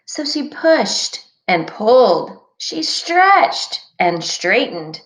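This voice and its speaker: A woman reading a children's storybook aloud like a teacher, with deliberate, expressive phrasing for young listeners, on a dull recording. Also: on the high side. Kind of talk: scripted storybook read-aloud.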